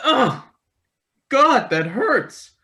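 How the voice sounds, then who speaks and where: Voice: sits high, very animated, very slow, pushed and bright, full character voice. A performer playing a nervous, sarcastic young intern held captive, stammering and wisecracking in a satirical play reading. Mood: pained. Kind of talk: live play table read, satirical stage acting.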